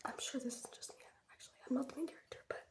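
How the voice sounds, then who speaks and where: Whispering teenage girl, chatty and casual. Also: rapid-fire. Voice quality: breathy whisper. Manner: chatty, wry, youthful slang. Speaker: ASMR host showing products. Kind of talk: whispered ASMR, casual rambling storytime.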